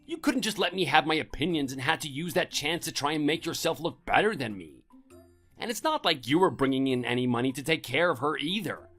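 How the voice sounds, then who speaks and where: A man's voice: fairly high pitch, expressive, brisk, fairly bright tone, soft background music. An actor playing a domineering, contemptuous middle-aged husband scolding his wife in a scripted audio drama. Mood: scornful, angry.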